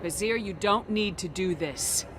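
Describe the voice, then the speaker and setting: A woman's voice: medium pitch, measured pace, noisy background, natural voice. A woman playing a bold, sardonic, commanding space-marine commander in a cinematic video game. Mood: cool, resolute. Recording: music behind the voice.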